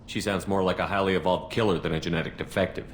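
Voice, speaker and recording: An actor voicing a steadfast military commander in a video game, speaking tersely and matter-of-factly with control. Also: male voice, low-pitched, fairly even intonation, quick pace, a little hiss or hum, close to an everyday voice. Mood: resolute. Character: steadfast military commander hero, adult man. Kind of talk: video game dialogue acting.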